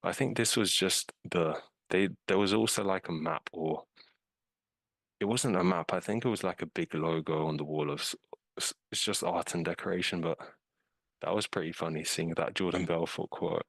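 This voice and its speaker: Man, British accent, deep voice, dry close sound, casual webinar talk, video call mic. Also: normal speed, creaky, fairly bright tone, dry recording. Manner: informal, uses fillers like "um" and "like". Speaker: scam investigator presenting a webinar. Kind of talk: casual walkthrough of a case with slides.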